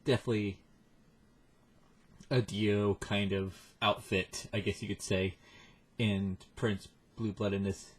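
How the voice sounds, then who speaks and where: A man hosting a reaction video with casual, halting, self-deprecating commentary in a husky voice. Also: lowish voice, flat delivery.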